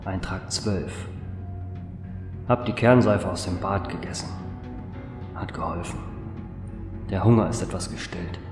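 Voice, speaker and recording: A man playing a starving, isolated man in an audio drama diary, weary, halting and murmuring. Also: low-pitched, reverberant, in a large hall, music in the background. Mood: weary despair.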